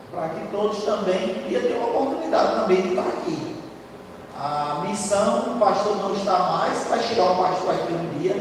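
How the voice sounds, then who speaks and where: A high-voiced man with a hoarse throat preaches to a congregation, loud and chatty, checking in with the room as he goes. He sounds far from the mic, and his voice rings through a big reverberant church hall. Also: slight background noise. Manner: loud, conversational preaching with frequent tag questions. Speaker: lay preacher. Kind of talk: sermon. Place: church sanctuary. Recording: distant, echoey church hall.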